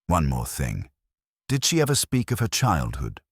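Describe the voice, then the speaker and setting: Man playing a deep, methodical English police detective. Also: wildly swooping pitch, husky, no room sound, studio quality, heavily acted. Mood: courteous, probing. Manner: measured, probing, deliberate. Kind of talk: dramatised mystery audiobook.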